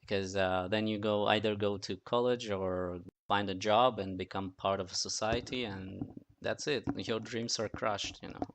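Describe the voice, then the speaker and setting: A man chatting casually as a podcast guest in a halting way, often repeating words. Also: on the low side, steady tone. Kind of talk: casual panel chat.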